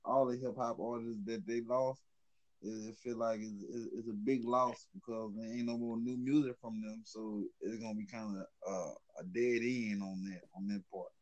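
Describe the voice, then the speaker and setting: Low-voiced laid-back man from Louisiana. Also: fairly even intonation, moderate pace, a little mellow, highs cut off. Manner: laid-back, stumbling over words. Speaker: chat partner. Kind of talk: casual chat.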